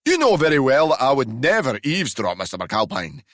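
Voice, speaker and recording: A man voicing a wizened old transporter driver with a Glasgow accent, loud and indignant. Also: medium pitch, wildly swooping pitch, brisk, dry recording, studio quality, pitched above the performer's own voice. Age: older.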